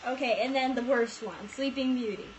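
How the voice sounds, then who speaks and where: A young woman with a high voice delivers casual, comic storytelling. Her manner is excitable and giggly, leaning into theatrical mock outrage. The amateur recording carries audible noise. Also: moderate pace, rough recording. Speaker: vlog co-host. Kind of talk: casual comic retelling of fairy tales.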